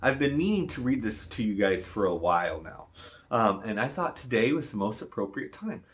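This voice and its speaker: A man talking casually and gently as he introduces and comments on a storybook he is reading aloud. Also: lowish voice, sing-song, moderate pace. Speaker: storybook reader.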